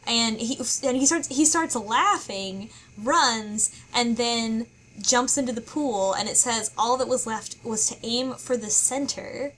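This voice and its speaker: A woman chatting casually as a podcast co-host, thinking aloud in halting, puzzled phrases. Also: a little high, fairly bright tone, low-quality recording. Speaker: book club podcast co-host. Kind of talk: casual chat, speculating about a story.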